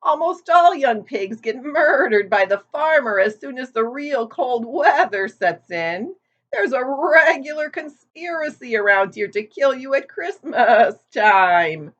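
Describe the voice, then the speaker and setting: A woman voicing an old female sheep who delivers bad news bluntly and loudly. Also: a little high, voice pushed up, heavily acted. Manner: loud, blunt, knowing. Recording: dull lo-fi recording.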